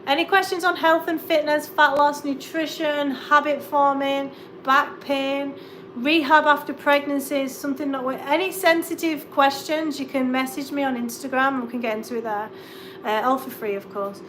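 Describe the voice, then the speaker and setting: A woman's voice: sits high, steady tone, moderate pace, a bit bright, slight background noise. A woman with an English accent, a fitness coach chatting casually through a live question-and-answer stream from her home kitchen. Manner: chatty, rambling, asides while cooking.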